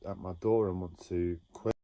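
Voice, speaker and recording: Man, deep dark voice, halting reflective solo talk, dry. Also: steady tone, no echo. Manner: halting, with frequent pauses and restarts. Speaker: livestreamer sharing a personal story. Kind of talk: slow reflective monologue. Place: home.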